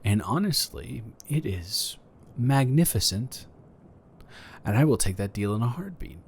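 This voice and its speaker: Male neighbor, played by a man for romantic audio roleplay; flirty, flustered, halting delivery, mid-pitched with very animated intonation. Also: a little hiss or hum. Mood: surprised, flirty. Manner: stammering, halting, playfully awkward, earnest.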